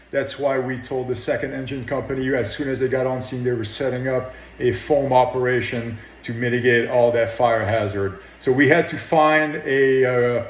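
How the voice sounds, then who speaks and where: A man speaks in an interview as a firefighter. His medium-pitched voice sounds plain and modest, recorded with a noticeable room echo. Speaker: firefighter officer. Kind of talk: interview account of an incident.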